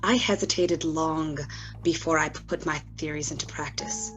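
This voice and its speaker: A woman's voice: sits low, steady tone, normal speed, a bit bright, faint music behind, poor audio. A woman playing an obsessed scientist, delivering a grave, theatrical confession in a gothic radio play. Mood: grave, intense.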